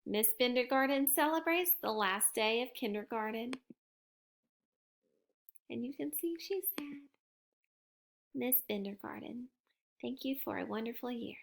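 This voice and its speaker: A woman teacher reading a picture book aloud to her young students in a gentle, expressive storytime manner. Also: on the high side, a little slow, creaky, long pauses. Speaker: kindergarten teacher.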